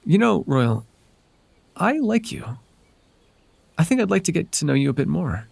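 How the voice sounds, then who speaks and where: A man voicing a whimsical, softly possessive male fairy in an intimate, hesitant, conversational ASMR roleplay. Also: fairly high pitch, sing-song, moderate pace, vocal fry, husky, dry recording, exaggerated voice. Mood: gently curious.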